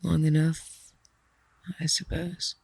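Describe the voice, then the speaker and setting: Earnest young best friend for audio drama: low, gravelly, anxious, tentative. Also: female voice, level tone, takes it slowly, darkish tone, dry recording, heavily acted, rough recording. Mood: nervous, vulnerable.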